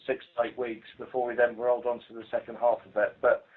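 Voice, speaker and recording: A British man talks on a tinny, narrow phone line in a medium-pitched voice. He is a police investigator giving colleagues an informal briefing, with hesitant, halting delivery. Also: completely flat delivery. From United Kingdom. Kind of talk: informal work briefing on a conference call. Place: intercepted telephone conference call.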